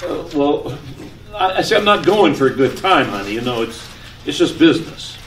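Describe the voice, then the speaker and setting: A man's voice: on the high side, sing-song, normal speed. A man playing a flustered, forgetful husband in an old-time radio comedy skit, stammering and exasperated. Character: flustered, forgetful husband leaving on a business trip. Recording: echoey room, distant mic, background noise.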